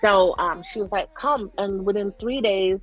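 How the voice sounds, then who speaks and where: A woman's voice: medium pitch, fairly even intonation, moderate pace, highs cut off, faint music behind. A young adult woman with a light Jamaican accent, telling her story as an interview guest in a chatty, rambling way full of fillers.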